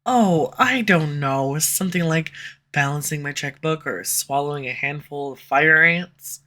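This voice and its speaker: Woman doing a snarky villain, bright edgy voice, sarcastic teasing all the way through. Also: low-pitched, a little slow. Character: snarky sharp-tongued villain, slightly tsundere. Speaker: audio roleplay voice actor. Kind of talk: scripted audio roleplay. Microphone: USB condenser mic.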